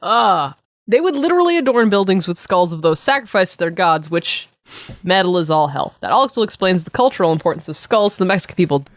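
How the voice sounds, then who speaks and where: A woman's voice: fairly low pitch, animated, fairly quick, no echo, studio quality. A woman co-hosting a comedy podcast, explaining and bantering in a wry, irreverent way. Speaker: podcast co-host.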